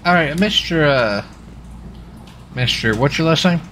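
Informal, halting man in police roleplay. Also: on the high side, lively intonation, fairly bright tone, slight background noise. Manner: halting, informal, rambling questions. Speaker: streamer playing a police marshal in roleplay. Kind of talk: improvised in-game police roleplay, casual questioning.

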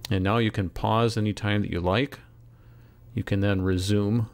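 A man narrating a software tutorial as an instructor, in a measured, step-by-step way. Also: on the low side, fairly even intonation, heavy background noise. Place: close, dry voice-over for screen recording.

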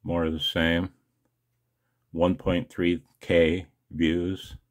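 An older man with a gravelly, husky voice talking casually and haltingly in a personal, emotional, confessional video blog. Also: low voice, little pitch movement. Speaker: amateur radio video blogger. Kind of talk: personal screen-share vlog, casual monologue.

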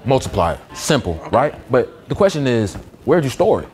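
A man's voice: medium pitch, very animated, normal speed, a little mellow. A man improvising a prank, joking and boasting with frequent laughs while pretending to be a job candidate.

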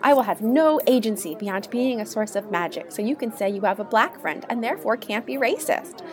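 Woman playing a high-pitched, earnest young sweetheart. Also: lively intonation, fairly quick, over music, natural voice. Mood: earnest, helpless. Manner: earnest, melodramatic. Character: naive, devoted young sweetheart from a Christmas romance. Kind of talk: satirical radio play acting.

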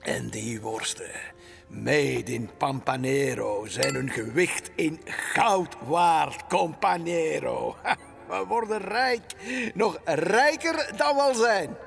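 A man's voice: on the high side, slow pace, vocal fry, soft background music, heavily acted. A man voicing a greedy, scheming older Latin American president, smug and commanding, in a comic book reading. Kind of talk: comic book read aloud in voices.

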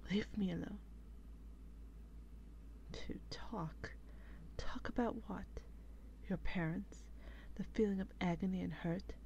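A woman playing an exasperated, protective female vampire in a breathy, whispery ASMR role-play, with slow and dramatic reproach. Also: mid-range voice, fairly even intonation, mellow, dull audio, slight background noise. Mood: irritated, weary.

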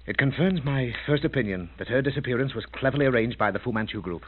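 An actor playing a brisk, shrewd investigator in a 1940s-style radio drama, clipped, probing and authoritative. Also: male voice, medium pitch, animated, lightly acted. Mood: assured. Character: brisk, commanding British investigator, shrewd and urgent. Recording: narrow, tinny, hissy old radio recording.

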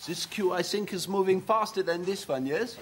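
An actor playing an earnest, guilt-ridden young German man with a German accent, who talks in stiff, over-formal phrases and bursts into shouted rants. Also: sits high, normal speed, slight background noise, natural voice. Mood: polite. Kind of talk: TV sketch comedy acting.

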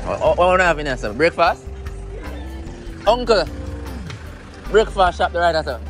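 A man's voice: fairly high pitch, big pitch swings, measured pace, fairly bright tone, faint music behind. A young Jamaican man chatting to his viewers while filming street food stalls outdoors on a phone. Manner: chatty, addresses viewers as "people". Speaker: food vlogger. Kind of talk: casual street vlog talking to viewers. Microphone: handheld phone camera.

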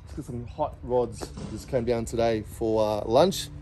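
Man, Australian accent, middle-aged, casual walkaround, chatty and repetitive, outdoor phone recording, clear traffic noise. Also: medium pitch, measured pace, mellow. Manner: easygoing, chatty, lots of fillers and repeats. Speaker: car show presenter. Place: outdoor car park beside a diner.

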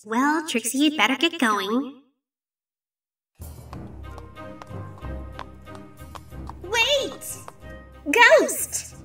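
A woman's voice: high voice, very animated, slow pace, sharp tone, far from the mic, faint music behind, exaggerated voice. A woman voicing a boastful, flustered ghostly pony magician in a cartoon comic dub, stammering and exclaiming theatrically. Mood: startled. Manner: theatrical, stammering, exclaiming, refers to self in third person.

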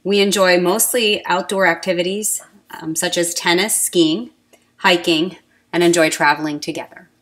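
A woman in her forties, an eye surgeon, reading her introduction in a calm, plain way. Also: fairly low pitch, normal speed. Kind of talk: read-aloud self-introduction.

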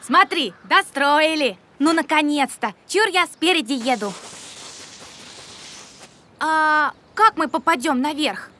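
A woman's voice: sits high, animated, takes it slowly, pauses often, background noise. A woman voicing a mischievous, bickering young raccoon child in a children's cartoon. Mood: eager, scheming.

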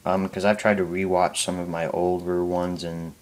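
Low-voiced young man who stutters. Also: little pitch movement. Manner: stutters, halting with many fillers and restarts. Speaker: YouTuber answering viewer questions. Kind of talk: casual Q&A talk to camera.

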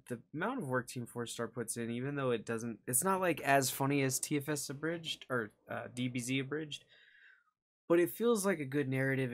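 A man's voice: medium pitch, gravelly. A young man hosting a reaction video with casual, rambling, hesitant commentary from a room at home. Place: small indoor room at home.

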